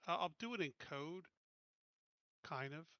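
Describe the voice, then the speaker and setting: A man playing a tabletop game, chatting casually and thinking aloud in a halting way. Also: on the high side, animated, no room sound, highs cut off.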